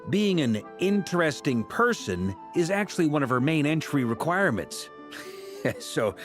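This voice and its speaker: Lively, breezy knight commander. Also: male voice, on the high side, normal speed, soft background music. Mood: amused. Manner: breezy, teasing, hearty. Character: easygoing, jovial adult male knight commander. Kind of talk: English video game voice acting.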